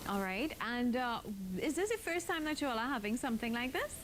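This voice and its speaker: A woman's voice: a little high, big pitch swings, a little fast, low-quality recording. A woman hosting a morning broadcast interview. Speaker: morning show host. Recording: clear background noise.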